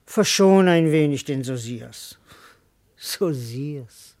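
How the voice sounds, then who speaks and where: An actor playing a wheedling, boastful comic servant in a classical verse radio play, speaking in a theatrical, declamatory way. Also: male voice, a little high, animated, takes it slowly, darkish tone. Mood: wheedling, cajoling. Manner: theatrical, declamatory, swooping delivery.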